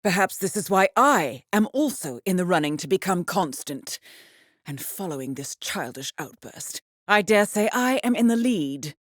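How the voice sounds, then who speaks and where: An actress playing a composed, dryly witty intelligence handler in a video game, briefing an assassin in a formal, understated, ironic manner. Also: female voice, lowish voice, sing-song, normal speed, dry recording, clean studio sound. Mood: dry, wry. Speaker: mission handler.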